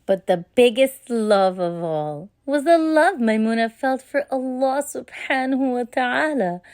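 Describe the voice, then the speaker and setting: A woman narrating a children's story in a gentle, expressive read-aloud manner. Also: a little high, unhurried, no echo, clean studio sound.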